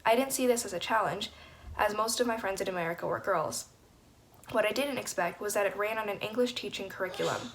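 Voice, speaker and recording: Fast-reading teenage girl, faint background noise. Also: mid-range voice. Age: teens. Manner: fluent, steady reading. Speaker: student reading an essay. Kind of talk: reading a personal essay aloud.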